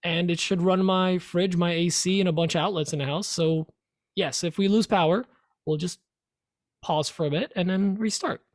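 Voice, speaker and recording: High-voiced chatty man. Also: fairly even intonation, normal speed, dry recording. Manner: chatty and upbeat, trailing restarts. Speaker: podcast co-host. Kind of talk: casual chat.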